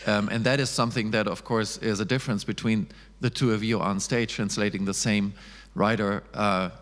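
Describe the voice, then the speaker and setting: A man whose first language is German, moderating a panel discussion in English, measured and hesitant with many ums. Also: lowish voice, steady tone, a bit bright. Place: festival stage in a reverberant hall. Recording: long hall reverb.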